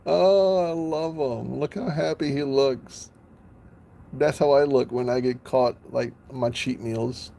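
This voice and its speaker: Man, live streamer, gravelly voice, mellow tone, playful, laughs a lot. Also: a little high, slight background noise. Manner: playful, laughs often, stumbles over names. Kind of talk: casual live-stream chatter.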